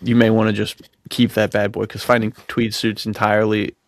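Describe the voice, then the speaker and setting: A man co-hosting a podcast in casual, enthusiastic chat, talking in slangy, excitable bro talk. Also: on the low side, a little fast, no echo, clean studio sound. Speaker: podcast co-host.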